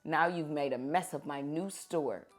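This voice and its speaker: A woman's voice: sits low, a little slow, soft background music, voice pushed down. A woman voicing a stern, exasperated female toy-store owner in a children's storybook.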